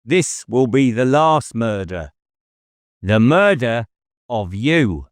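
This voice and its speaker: Sly, threatening woodsman, a male reader acting, well raised pitch, faint Cornish burr, taunting intensity, audiobook villain. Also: sing-song, slow pace, no room sound, studio quality. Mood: menacing, gloating. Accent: subtle Cornish burr. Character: menacing, sly male woodland murder suspect with bow. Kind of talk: audiobook character dialogue.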